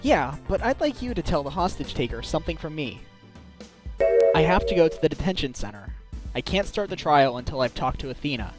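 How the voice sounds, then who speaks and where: A man's voice: on the high side, very animated, a little fast. A man playing an earnest, weary but determined defense lawyer in a game fan dub, talking conversationally over music. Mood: serious. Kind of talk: scripted anime-game fan dub acting. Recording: prominent music behind the voice.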